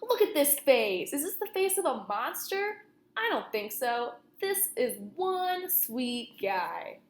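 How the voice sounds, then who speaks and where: A cocky storybook wolf, acted out by a woman, insists he is misunderstood. The voice sits fairly high, with a chummy, pushy, theatrical delivery in a roomy, echoing space. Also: big pitch swings, slow pace, heavily acted. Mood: jovial, defensive. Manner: chummy, pushy, theatrical, addresses listener directly. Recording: roomy, echoing room sound.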